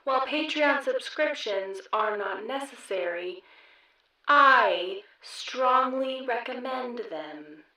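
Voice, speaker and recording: Lady doing robotic deadpan voicemail announcer, slow, super echoey n far away, audio drama. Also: fairly high pitch, heavily acted. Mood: deadpan, formal. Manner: deadpan, formal, measured announcing. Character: impersonal, deadpan automated female voicemail system. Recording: heavily reverberant, distant voice.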